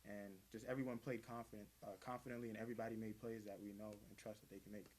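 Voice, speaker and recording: A man's voice: lowish voice, level tone, fast, darkish tone, a little hiss or hum. A young man, a college basketball player, answering press conference questions in casual, loose phrasing. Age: young adult.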